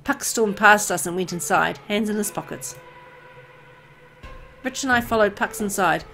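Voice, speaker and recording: Casting: female narrator for horror visual novel, dramatic storytelling, medium pitch, background music. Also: moderate pace, creaky, pushed and bright. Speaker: gameplay video host reading a visual novel aloud.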